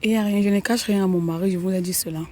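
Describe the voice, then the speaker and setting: A woman answering as an interview guest, insistent and repeating herself, recorded quietly with some noise behind her. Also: medium pitch, steady tone, racing, no room sound.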